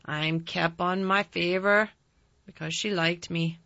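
A woman plays an old Yorkshire gardener in a storybook, crabbed yet tender and shrewd. He talks in a dry, stubborn, unhurried way, and the sound is close and dry with a bright edge. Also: on the low side, steady tone, dry recording, lo-fi sound, exaggerated voice. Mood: dry, fond. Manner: dry, slow, obstinate.

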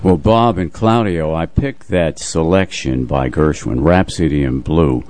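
Radio veteran, male, 70s, very deep register, recalls old days in halting, unscripted phrases. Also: a little mellow. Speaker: radio show co-host, veteran broadcaster. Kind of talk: unscripted reminiscing on a radio talk show.

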